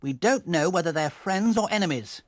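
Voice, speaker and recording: Guy reading as boy captain in a kids audiobook, bossy n careful, pitch swoops around, no room sound. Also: animated, moderate pace, dry recording, studio quality. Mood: urgent, cautious. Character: young boy captain, commanding and cautious. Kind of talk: children's audiobook character voice.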